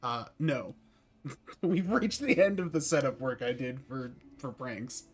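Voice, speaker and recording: A man's voice: medium pitch, lively intonation, measured pace, faint music behind. A man giving casual, ironic live commentary as he streams a game. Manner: jokey, ironic.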